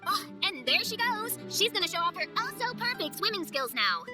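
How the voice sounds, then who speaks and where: A woman voicing a tiny, childlike, excitable sidekick creature in a video game, chatty and blunt with a squeaky voice. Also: moderate pace, music in the background. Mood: excited. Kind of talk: video game cutscene acting.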